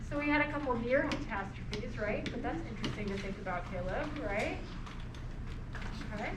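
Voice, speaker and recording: Casting: encouraging woman host for play readings, high voice, heard in an echoey room. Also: expressive, moderate pace. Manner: encouraging, often asking questions. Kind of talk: reading stage directions, leading group discussion. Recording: distant mic in noisy, echoey room.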